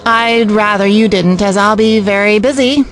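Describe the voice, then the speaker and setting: A woman's voice: medium pitch, moderate pace, soft background music, close to an everyday voice. A woman playing a bitter, brusque, uncaring mother with a curt, dismissive manner in an audio drama. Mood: brisk.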